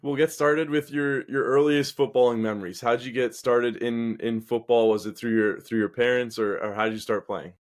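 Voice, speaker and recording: Casual male podcast host, medium pitch. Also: steady tone, normal speed, few pauses. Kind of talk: casual interview.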